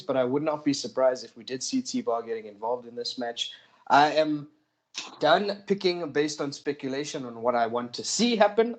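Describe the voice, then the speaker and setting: A man with an ordinary mid-range voice chats on a podcast, weighing his choices aloud. He hedges and restarts a little as he thinks. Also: medium pitch. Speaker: podcast co-host. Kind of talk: casual wrestling predictions chat.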